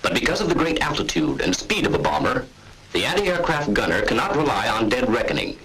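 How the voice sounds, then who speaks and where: A man's voice: medium pitch, big pitch swings, brisk, gravelly, crowd noise, poor audio. An American man narrating a 1940s military training film in an authoritative, clipped, instructional manner, on an old film soundtrack.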